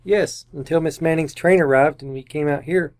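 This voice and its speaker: A man's voice: a little high, moderate pace, natural voice. A man playing an earnest, anxious husband under suspicion in an old-time radio mystery, speaking in a stiffly theatrical way. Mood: subdued, cooperative. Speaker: amateur radio actor.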